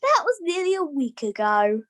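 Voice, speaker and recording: A woman's voice: sits high, very animated, normal speed. A young woman acting an impatient, no-nonsense puppet committee member in an amateur puppet show. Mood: brisk, impatient.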